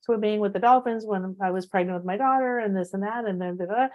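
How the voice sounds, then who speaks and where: A woman's voice: medium pitch, fast, highs cut off. Middle-aged woman hosting a laid-back chat, storytelling with lots of bounce and a laugh never far off, her voice sitting right in the middle of the range. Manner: animated storyteller, laughs often. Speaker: host. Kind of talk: casual spiritual chat show.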